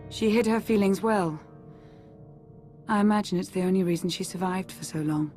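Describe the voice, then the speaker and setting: A woman voicing a calm, commanding advisor in a video game, with a measured, grave and instructive delivery. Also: mid-range voice, close to an everyday voice. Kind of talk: video game cutscene acting. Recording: faint music behind the voice.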